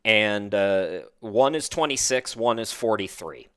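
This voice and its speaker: A man's voice: lowish voice, animated, measured pace, fairly bright tone, clean tone. A man hosting a podcast in a casual, chatty interview style, with a self-deprecating, rambling manner. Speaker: podcast host.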